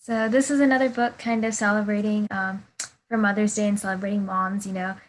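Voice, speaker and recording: A woman's voice: medium pitch, little pitch movement, brisk, a little hiss or hum. Woman reading a children's storybook aloud. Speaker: volunteer storybook reader. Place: reading over a video call. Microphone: video call.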